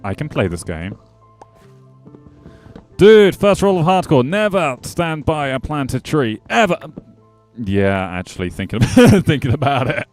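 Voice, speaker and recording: A man's voice: medium pitch, sing-song, measured pace, pauses often, a little mellow, faint music behind. A man casually commenting on his gameplay as a streamer, chatting to his viewers and joking with the animals in the game. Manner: chatty, jokey, talks to chat and game animals.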